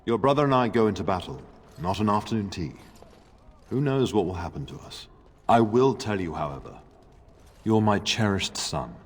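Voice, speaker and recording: An actor playing a middle-aged noble lord and father in a video game, fond but grave, speaking in a formal, measured way. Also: fairly low pitch, lively intonation, breathy edge. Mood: fond, firm. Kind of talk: video game cutscene acting. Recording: long reverb, music underneath.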